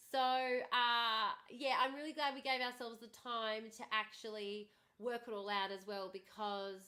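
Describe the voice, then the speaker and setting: A woman hosting a casual livestream, chatty and bubbly, her voice starting to go after hours of talking. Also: fairly high pitch. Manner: bubbly, chatty, thanks viewers warmly and often. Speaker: livestream host. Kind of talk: casual livestream chat with viewers.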